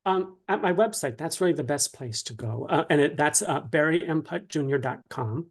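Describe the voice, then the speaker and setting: Male interview guest, slightly higher register, careful and halting explainer, informal teaching tone. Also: slightly rough. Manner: hesitant, halting, measured explaining. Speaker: guest writer being interviewed. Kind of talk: informal instructional interview talk.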